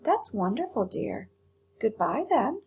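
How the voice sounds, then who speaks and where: A woman voicing a gentle, timid older country mother in a storybook, with a shaky voice and folksy, meek speech. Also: on the high side, sing-song, takes it slowly, slight background noise, pitched above the performer's own voice, heavily acted. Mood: tremulous. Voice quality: tremulous, shaky.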